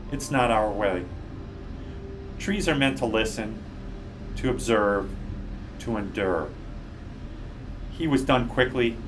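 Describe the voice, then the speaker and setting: A man narrating a children's audiobook in a measured, deliberate way, recorded at a slight distance from the mic. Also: fairly low pitch, background noise. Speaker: guest storybook reader.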